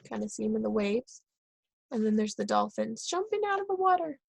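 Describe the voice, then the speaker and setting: A woman reading a children's book aloud, pausing and correcting herself now and then. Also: on the high side, big pitch swings, vocal fry, slightly rough, dry recording. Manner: reads aloud with pauses and self-corrections. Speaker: reader.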